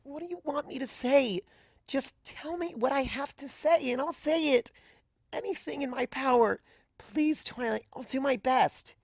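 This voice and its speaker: A woman plays a young female pony from a storybook, meek and heartbroken. The voice is pitched up high and pleads earnestly, halting and apologetic. The recording is close and dry. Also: dry recording. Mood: desperate pleading. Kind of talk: dramatised fan fiction reading.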